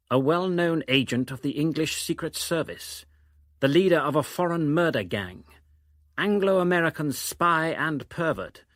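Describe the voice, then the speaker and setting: An actor playing an accused English writer in a radio reading, formal, precise and deadpan as he recounts slanders. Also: medium pitch, dry recording, studio quality. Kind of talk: dramatised reading from a novel.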